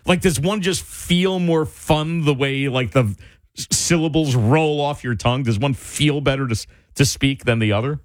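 A man's voice: medium pitch, moderate pace, no echo, studio quality. A man hosting a talk radio show, chatty, curious and self-deprecating. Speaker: radio host.

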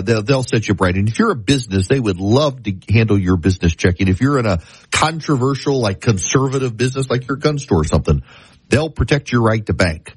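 A man's voice: medium pitch, breathy edge, no echo, poor audio. A man hosting a talk radio show, chatting casually through a stuffy head cold, often restarting his sentences. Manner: rambling, self-interrupting with restarts.

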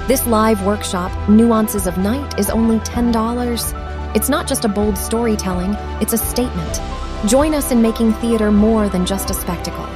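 A woman reads a scripted radio ad in a smooth, even announcer delivery. Clear music plays behind her. Her pitch is moderate.

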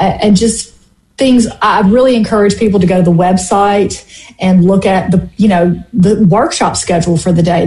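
A woman's voice: mid-range voice, dark tone, reverberant, poor audio. A woman from the Mississippi Delta with a Southern accent, chatting as a book festival organizer, full of ums and you-knows. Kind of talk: casual radio interview.

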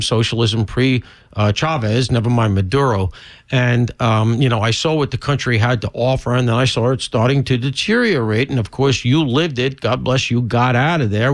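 A man's voice: mid-range voice, no echo, studio quality. A male radio host interviewing a guest on a political talk show, chatty and opinionated.

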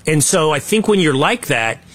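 A man's voice: a little high, sing-song, normal speed. A man from Texas with a faint Texan accent, hosting a casual panel chat and giving his opinions. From Texas, USA. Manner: conversational, opinionated, with restarts. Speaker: show host.

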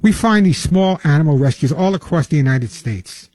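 An older man delivers a pet radio show monologue in a husky, slightly high voice. He talks emphatically and folksily, driving his points home by repeating them. The sound is close and dry, from a studio mic. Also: lively intonation, normal speed, darkish tone, no room sound. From Long Island, New York.

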